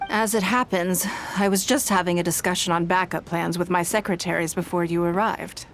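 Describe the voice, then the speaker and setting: A woman voicing a poised, authoritative noblewoman in a video game, speaking in a formal, measured, composed manner. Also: fairly low pitch, fairly even intonation, slight background noise, lightly acted. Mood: composed, gracious. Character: poised, authoritative noblewoman leader employing secretaries. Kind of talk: scripted video game dialogue. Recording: background music behind voice.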